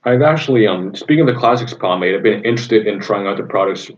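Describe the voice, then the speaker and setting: A man's voice: on the low side, animated, quick pace. A young man from Indonesia chatting casually on a livestream, rambling with many ums and easy enthusiasm. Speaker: livestream host answering viewer questions. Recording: dull lo-fi sound.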